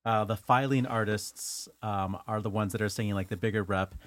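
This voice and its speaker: A man co-hosting a casual talk about singing, relaxed and hesitant with fillers. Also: fairly low pitch, moderate pace, no room sound. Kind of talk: casual chat.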